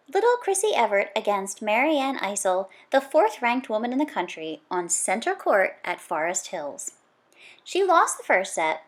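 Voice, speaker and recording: A woman reading a children's book aloud like a teacher, in an animated, conversational way with emphatic stress on repeated words. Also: on the high side.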